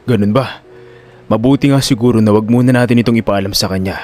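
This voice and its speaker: A man playing a calm, serious male confidant in a Filipino audio drama, speaking in a measured, reassuring way. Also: on the low side, faint music behind, natural voice. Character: Rocky, calm serious confidant guarding an old don.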